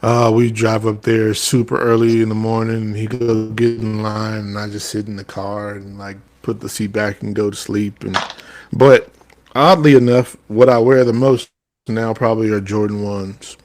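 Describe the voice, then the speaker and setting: Easygoing man with a low voice. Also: flat delivery, normal speed, a little mellow. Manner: easygoing, agreeable. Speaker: podcast host. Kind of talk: casual chat.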